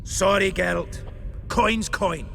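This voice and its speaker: A raspy, blunt mercenary guard. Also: male voice, high-pitched, slow, long reverb tail, over music, heavily acted. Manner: blunt, matter-of-fact. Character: gruff, mercenary male hired guard.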